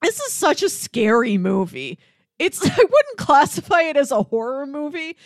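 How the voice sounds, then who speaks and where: A woman's voice: high voice, very animated, normal speed, dry recording, studio quality. A woman co-hosting a casual film discussion, making emphatic points and building them through repetition. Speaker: podcast co-host.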